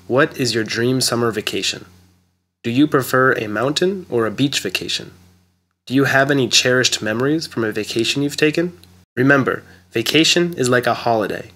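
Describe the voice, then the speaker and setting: Man explaining English slowly. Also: mid-range voice, sharp tone. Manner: clear, careful explaining. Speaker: English teacher. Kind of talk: slow scripted lesson narration.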